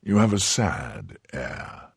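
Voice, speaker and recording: A man's voice: sits low, very animated, takes it slowly, vocal fry, husky, no echo, studio quality, heavily acted. A man voicing a weary, hard-drinking nobleman musketeer who speaks in a languid, dismissive way.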